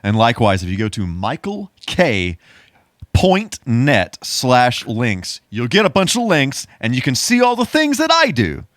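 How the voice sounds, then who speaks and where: A man's voice: medium pitch, huge pitch swings, unhurried, a bit bright, no room sound, clean studio sound. A man hosting a podcast in a casual, playful style with a goofy bit of showmanship. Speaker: podcast host.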